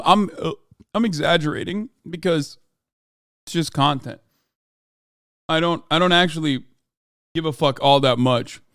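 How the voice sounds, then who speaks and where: A man's voice: fairly high pitch, fairly bright tone, smooth, dry recording, clean studio sound. A man in his thirties ranting in casual reaction commentary, profane and exasperated. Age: 30s. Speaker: streamer reacting to clips.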